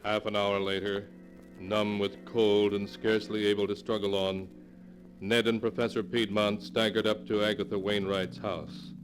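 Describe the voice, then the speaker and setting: A man's voice: lowish voice, level tone, moderate pace, soft background music. A man narrating a 1940s radio horror tale in a solemn, dramatic storytelling manner. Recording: noisy vintage 1940s radio recording.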